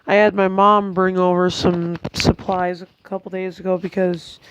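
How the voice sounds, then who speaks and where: A woman's voice: fairly low pitch, level tone, moderate pace, clear, resonant tone, dull audio. Congested young woman rambling a vlog update. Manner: hesitant, many ums and restarts, chatty. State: congested, tired. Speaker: vlogger. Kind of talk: casual rambling update to camera.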